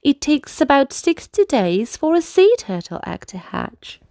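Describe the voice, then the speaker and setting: A woman reading a children's book aloud as narrator, in an expressive storytelling manner. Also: sits high, unhurried. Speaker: storybook reader.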